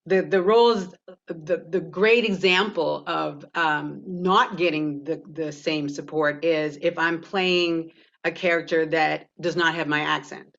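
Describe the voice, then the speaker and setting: Animated Canadian woman, slightly muffled audio. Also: sits low, unhurried, gravelly. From Toronto, Canada. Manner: animated, laughs often. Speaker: actor and producer, panelist. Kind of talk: candid panel discussion. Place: virtual panel over video call.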